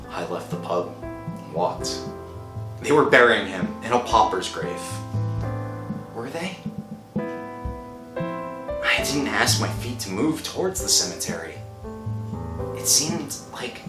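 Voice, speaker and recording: An anguished, volatile, guilt-ridden thief. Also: male voice, low voice, exaggerated voice. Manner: melodramatic, anguished, swinging from hushed to shouting. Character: desperate, guilt-ridden poor young man, thief, narrating his ordeal. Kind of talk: 1960s radio thriller acting. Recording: roomy old radio recording with music.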